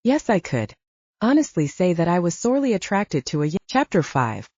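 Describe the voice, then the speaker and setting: Woman, audiobook narrator, lowish voice, animated melody, dry dull recording. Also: normal speed, no echo. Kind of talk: scripted first-person fiction narration.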